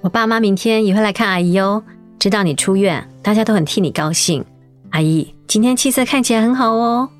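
A woman voicing a caring young niece in a radio drama, gentle and polite in her speech. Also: medium pitch, a little fast, faint music behind, lightly acted.

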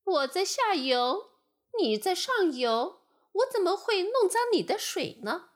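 A woman voicing a small young lamb who protests in a high, pleading voice. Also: big pitch swings, takes it slowly, full character voice. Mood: indignant. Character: small young lamb, timid but indignant.

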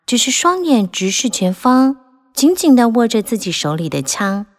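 A woman narrating a children's fairy tale in an animated, expressive way, rising and falling with the drama. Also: a little high, moderate pace. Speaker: storyteller.

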